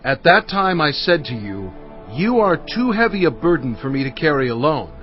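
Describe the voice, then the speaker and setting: A man's voice: on the high side, big pitch swings, moderate pace. An actor playing Moses as a fatherly, earnest leader addressing his people with oratorical weight in dramatized scripture narration. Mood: earnest, recounting. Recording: dull sound with music underneath. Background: music.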